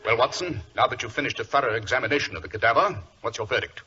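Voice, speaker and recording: An actor playing a shrewd, commanding British detective with clipped, authoritative speech in a 1940s radio drama. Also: male voice, mid-range voice, very fast, bright, forceful tone, natural voice. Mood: brisk, decisive. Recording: dull, noisy vintage radio recording.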